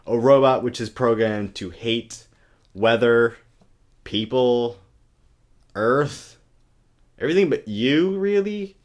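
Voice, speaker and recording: A man with a mid-pitched voice performs a mocking reading of a novel. He is theatrical and exaggerated, dropping sarcastic comments as he goes. It sounds like an amateur webcam recording. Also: slow, pauses often. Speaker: amateur reader and comic commentator. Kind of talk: mocking dramatic book reading with sarcastic asides.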